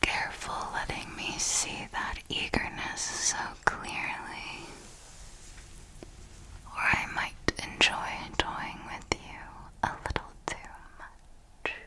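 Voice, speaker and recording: A woman playing an elegant vampire mentor in a whispered ASMR roleplay, formal and deliberate, possessive, teasingly menacing and protective, with a breathy delivery. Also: bright, forceful tone, poor audio. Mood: teasing menace. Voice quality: breathy, mostly unvoiced whisper. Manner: slow, deliberate, formal, with measured pauses.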